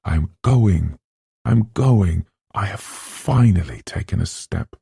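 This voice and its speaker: A male narrator reads as a timid, dreamy woman in a novel, earnest and inward, as if talking to herself. The voice stays low and creaky, with a mellow tone. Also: animated, measured pace, no room sound, clean studio sound, exaggerated voice. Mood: quietly elated. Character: timid, dreamy woman, secretly elated at escaping.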